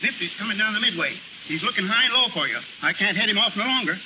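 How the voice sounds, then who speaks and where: Good-hearted, jittery carny guy, slangy, slightly high male voice, dull and noisy old radio sound. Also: lively intonation, quick pace. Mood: anxious. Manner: hesitant, slangy. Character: nervous, loyal, good-hearted carnival hand. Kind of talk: 1930s radio acting.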